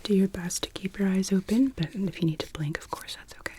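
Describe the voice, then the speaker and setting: A woman whispering softly and breathily as a doctor in an ASMR medical roleplay, giving gentle, reassuring, unhurried instructions. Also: medium pitch, noisy background. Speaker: ASMR performer playing a doctor.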